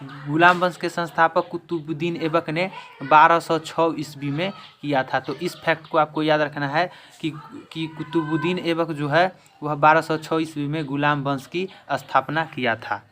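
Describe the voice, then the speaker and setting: A man with a slightly high voice teaches in quiz style for exam students. He repeats each question and answer to drill it in. There is some noise behind him on the recording. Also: steady tone, normal speed, a bit bright. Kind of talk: quiz-style history lesson.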